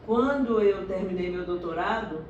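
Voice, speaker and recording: A middle-aged woman from Salvador, telling her life story in a slow, reflective interview. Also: medium pitch, recorded from a distance, lo-fi sound. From Salvador, Bahia, Brazil. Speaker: interviewee, doctorate holder. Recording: echoey room, recorded from afar, noisy.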